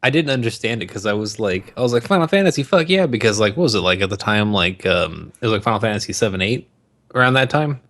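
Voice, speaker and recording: A man's voice: lowish voice, expressive, quick pace, a bit bright. A man co-hosting a podcast, joking casually about films in crude, slangy language. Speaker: podcast co-host.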